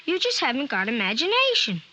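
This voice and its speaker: A woman's voice: sits high, huge pitch swings, a little fast, bright, forceful tone, exaggerated voice. A woman playing a brainy, argumentative little boy in 1950s radio drama, with an insistent, superior manner. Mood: scornful. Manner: know-it-all, insistent. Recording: hissy 1950s radio recording.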